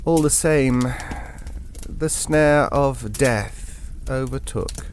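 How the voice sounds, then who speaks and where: An actor with a British accent playing a hesitant, reassuring gentleman who tells an eerie tale in an audio drama, halting and conversational. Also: mid-range voice, slight background noise. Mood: reflective, uneasy. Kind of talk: audio drama ghost story narration.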